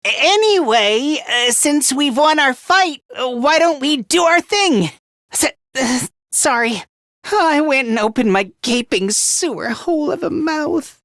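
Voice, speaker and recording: A man's voice: extremely high pitch, animated, slow pace, fairly bright tone, no echo, clean studio sound, full character voice. A man voicing a timid, self-loathing young male student fighter in an English video game, hesitant and stammering as he puts himself down. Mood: glum, self-loathing.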